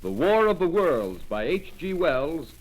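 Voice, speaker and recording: Man, network announcer, fairly high voice, crisp formal delivery, 1930s radio. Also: big pitch swings, unhurried, poor audio.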